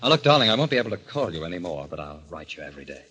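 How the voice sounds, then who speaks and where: Patronising, menacing husband; low, swooping delivery, old-time radio mystery with noisy sound. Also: male voice, sing-song, racing, pushed and bright, husky, full character voice. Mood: furtive, reassuring. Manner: controlling, insistent, falsely soothing. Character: scheming cruel husband, smooth and menacingly patronising. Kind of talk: vintage radio drama acting. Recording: noisy vintage radio recording.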